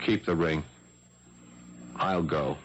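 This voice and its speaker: A man's voice: very deep voice, steady tone, slow pace, loud music behind the voice. An actor playing an anxious young French policeman in love, hesitant and stammering, in a 1970s radio mystery drama. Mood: pleading. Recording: dull 1970s AM radio broadcast.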